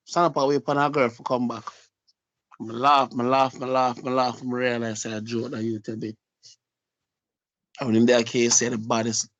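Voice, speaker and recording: A man's voice: mid-range voice, little pitch movement, normal speed, long pauses, fairly bright tone, no room sound, muffled recording. Jamaican man telling a street story. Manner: storytelling, heavy patois, repeats phrases. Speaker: podcast co-host. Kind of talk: casual chat.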